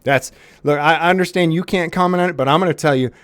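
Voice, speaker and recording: A man's voice: on the high side, fast talker, a bit bright, clean tone, no echo. A man making a prank phone call to a police department, mock-serious and faux-sincere, often restarting his sentences.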